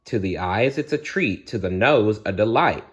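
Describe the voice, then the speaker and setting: A man voicing a kindly, motherly female fairy, reciting riddles deliberately in a bedtime story. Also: lowish voice, animated, highs cut off, natural voice. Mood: reciting, mysterious.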